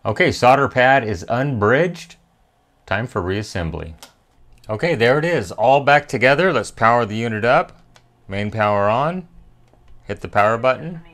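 A man's voice: medium pitch, lively intonation, moderate pace, fairly bright tone. A middle-aged American man narrating a hands-on electronics repair tutorial in a methodical, plain-spoken way. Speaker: electronics repair video host.

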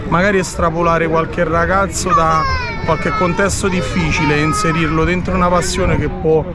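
A man, answering interview questions in an earnest, agreeable way at an outdoor event. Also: a little high. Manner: earnest, agreeable, backs the host with short affirmations. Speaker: guest, fishing tackle maker. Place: sunny beach at a crowded outdoor fishing event. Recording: loud crowd chatter behind the voice.

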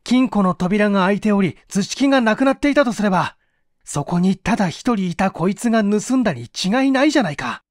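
A man voicing a hot-headed, sharp-reasoning man in an audiobook mystery, speaking forcefully and emphatically. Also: sits high, animated, no room sound, studio quality, pitched above the performer's own voice. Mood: frustrated, angry. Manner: forceful, emphatic, quick to conclude.

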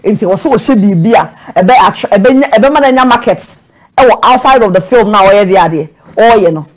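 A woman's voice: medium pitch, fairly quick. A woman with a Ghanaian accent, a guest in a TV studio interview, who switches between Twi and English with confidence. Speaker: guest, actress and show creator. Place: TV studio set.

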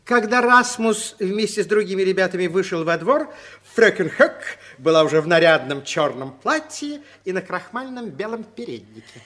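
A man narrating a children's radio play in an expressive, deliberate 1960s storytelling style. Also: high voice.

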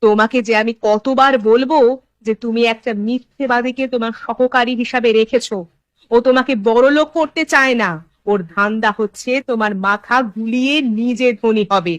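Headman's wife, acted by a woman, sensible, admonishing, firm, cartoon drama, fairly high, dry sound. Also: fairly even intonation, normal speed, no echo, lightly acted. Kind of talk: Bengali cartoon voice acting.

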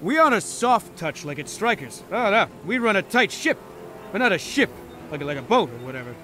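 Comic game guard, fussy and flustered, high-pitched, very lively delivery. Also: male voice, normal speed, faint music behind, full character voice. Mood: flustered, officious. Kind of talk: comic video game voice acting.

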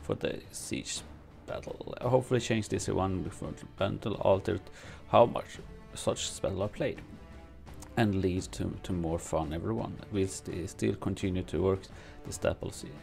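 A man with a low, gravelly voice reads game update notes and adds his own comments in English, which is not his first language. He talks in a halting way, full of fillers and restarts. Faint music plays behind him in his home streaming room. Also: steady tone, darkish tone. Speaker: gaming streamer. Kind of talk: reading and commenting on game news.

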